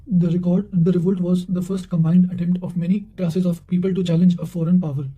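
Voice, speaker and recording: A man's voice: high voice, level tone, brisk, mellow, lo-fi sound. A man reading formal study material aloud in a careful way, often stumbling over words and correcting himself. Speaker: reader of history study material. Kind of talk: reading quotations aloud for exam revision.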